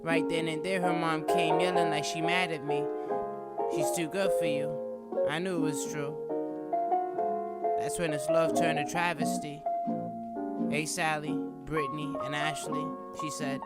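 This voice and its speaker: Low-voiced woman rapping spoken word over loud music. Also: flat delivery, unhurried, long pauses. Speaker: rapper and spoken-word poet. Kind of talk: rhythmic spoken-word storytelling over hip hop. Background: loud hip hop music.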